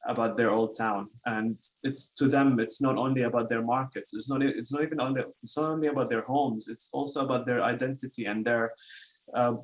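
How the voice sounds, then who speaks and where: A man from Iraq speaking earnestly on an online webinar panel, halting and restarting as he thinks. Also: medium pitch, fairly even intonation.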